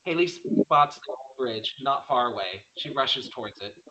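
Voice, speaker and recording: A man's voice: mid-range voice, measured pace, pushed and bright, low-quality recording. A man narrating stage directions from a script at a virtual table read.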